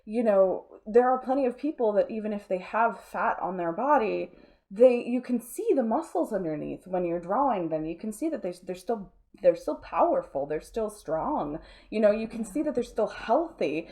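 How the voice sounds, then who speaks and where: A woman speaking conversationally in an interview, explaining earnestly and haltingly, with many filler words. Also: mid-range voice, expressive, mellow. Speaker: interview guest, art studio owner.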